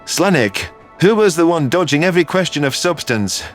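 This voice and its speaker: A man's voice: fairly high pitch, very animated, normal speed, faint music behind. An actor playing a concerned, loyal human man in earnest, emphatic dialogue from a sci-fi audio drama. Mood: hurt, firm.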